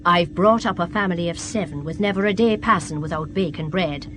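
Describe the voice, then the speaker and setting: An actress playing a garrulous, devout middle-aged Irish widow from the country in a radio drama, folksy and inquisitive. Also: female voice, on the low side, brisk, noisy background, natural voice. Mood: folksy, friendly. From west of Ireland.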